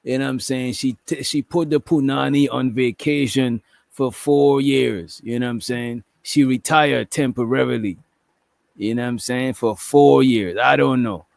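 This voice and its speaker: A man's voice: mid-range voice, steady tone, moderate pace, vocal fry, a little mellow, dry recording. A man hosting a casual reaction commentary, swearing freely, laughing often and repeating "you know what I'm saying". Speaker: commentary show host.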